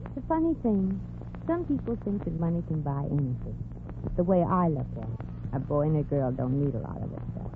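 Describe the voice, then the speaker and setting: An actress playing a brassy, slangy young showgirl in a 1940s radio comedy, sassy and quick with a wisecrack. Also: fairly low pitch. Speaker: lead actress. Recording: tinny old radio broadcast with hiss.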